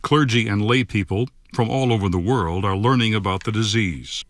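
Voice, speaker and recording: A man giving scripted narration for a television documentary special in a measured, even delivery. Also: on the low side.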